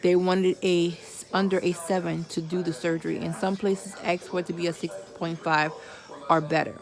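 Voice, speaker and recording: A woman's voice: fairly low pitch, flat delivery, moderate pace. A woman of forty giving viewers a candid personal update, chatting casually with religious asides. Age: 40s. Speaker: vlogger.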